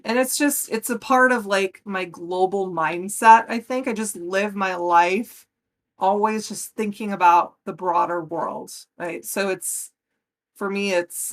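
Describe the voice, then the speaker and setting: An American woman in her forties speaking as a podcast guest in casual conversation, earnest and emphatic. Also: fairly low pitch. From United States. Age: 40s.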